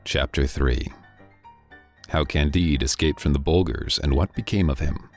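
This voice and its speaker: A man with an extremely low, deep voice narrates a classic novel in a measured, dry manner. Faint music plays softly behind him. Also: vocal fry. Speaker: audiobook reader. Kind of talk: scripted narration.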